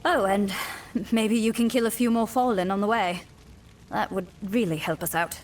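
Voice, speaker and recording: A woman's voice: mid-range voice, steady tone, normal speed, recorded from a distance, a little hiss or hum, natural voice, low-quality recording. An actress playing a grateful, shaken young woman in a fantasy game, friendly and a little hesitant. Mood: grateful, relieved.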